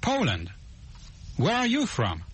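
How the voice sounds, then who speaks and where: A man playing a slow, careful Polish traveler. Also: on the high side, wildly swooping pitch, a bit bright, slightly rough, heavily acted, poor audio. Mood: polite. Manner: slow, deliberate, carefully spelled speech. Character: polite male traveler from Poland. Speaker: dialogue actor in a language course. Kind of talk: scripted language-course dialogue.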